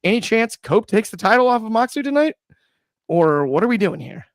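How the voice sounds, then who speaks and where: Guy hosting a chat show, kinda high voice, bone dry sound, laughs a lot. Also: big pitch swings, fairly quick, dry recording. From US Midwest. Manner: jokey, laughs often, leads the segments. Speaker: podcast host. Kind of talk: casual chat.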